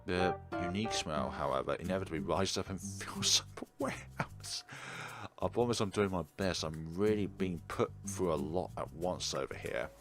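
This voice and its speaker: A man's voice: deep voice, lively intonation, moderate pace. A man hosting a game playthrough, reading story text aloud, acting out dialogue and adding his own commentary. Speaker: gaming video host. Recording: loud music behind the voice. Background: game music.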